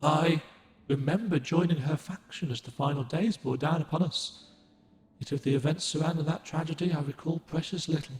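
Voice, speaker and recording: An ancient moon guardian spirit from a game speaks in formal, old-fashioned phrases, gentle and measured, as a man voices him. His voice sits slightly high for a man. A long, roomy echo trails every line. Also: close to an everyday voice. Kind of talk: game cutscene dialogue.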